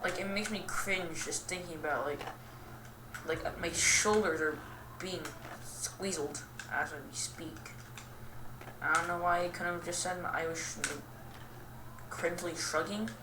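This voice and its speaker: A young woman giving casual, rambling game commentary in a self-deprecating, goofy and halting way. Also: on the low side, steady tone. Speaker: gaming video host. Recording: roomy, distant mic with clear noise.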